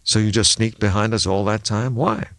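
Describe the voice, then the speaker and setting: A man's voice: fairly low pitch, sing-song, moderate pace, creaky, fairly bright tone, no room sound, full character voice. A man reading an audiobook, playing a tense, irritable male professor with a curt, challenging manner. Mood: suspicious. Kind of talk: audiobook character dialogue.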